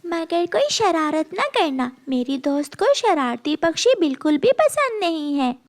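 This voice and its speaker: A woman's voice: very high voice, very animated, a little slow, exaggerated voice. A woman voicing a sweet, innocent little girl bird in a childlike, earnest way for a cartoon. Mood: cheerful. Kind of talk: Hindi moral-story cartoon dialogue.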